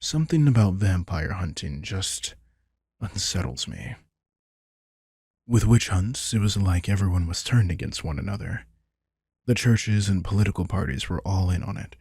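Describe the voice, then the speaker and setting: A man voicing a powerful, calm vampire king in an intimate roleplay audio drama, soft-spoken and conversational, with a husky, gravelly voice. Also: deep voice, no echo, studio quality, heavily acted. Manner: soft-spoken, conversational, speaking to one listener. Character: powerful male vampire king, calm, soothing, teasing, protective.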